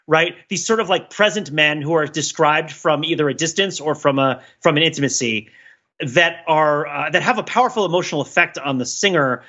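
A man's voice: on the high side, brisk, highs cut off. A man co-hosting a casual pop culture discussion, telling stories in a rambling way as he searches for words and checks that the listener follows. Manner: rambling, searching for words, animated storytelling with frequent check-ins. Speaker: podcast co-host.

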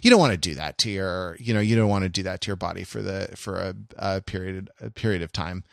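A man's voice: low voice, quick pace, creaky, dry recording, clean studio sound. A man co-hosting a casual podcast discussion, rambling and often restarting his phrases mid-thought. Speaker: podcast co-host.

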